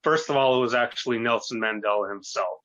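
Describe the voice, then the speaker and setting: A man of thirty-two, a native Russian speaker who grew up in the United States, talks as an interview guest. His voice is bright and forceful, at a medium pitch. He gives a halting, earnest personal account on a dull, lo-fi recording. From born in Russia, grew up in the United States.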